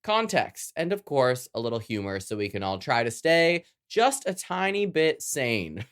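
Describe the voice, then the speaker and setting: Lively, sassy Canadian podcast host. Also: medium pitch, a little slow, clear, resonant tone. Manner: sassy, playful. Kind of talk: scripted news round-up with chatty, campy asides.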